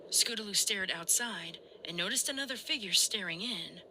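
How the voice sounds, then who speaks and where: A woman's voice: medium pitch, animated, moderate pace, bright, forceful tone, low-quality recording. A woman reading a horror story aloud as dramatic narration. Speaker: audiobook-style reader of a horror fan story. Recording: audible background noise and ambience.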